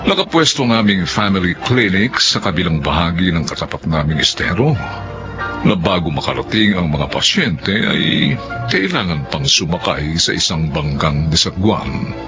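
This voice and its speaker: A man's voice: sits low, sing-song, moderate pace. A man playing a composed, businesslike family doctor in a Filipino radio drama. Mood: composed narration. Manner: composed, instructive. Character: businesslike male family doctor narrating his story. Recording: dull lo-fi audio under music.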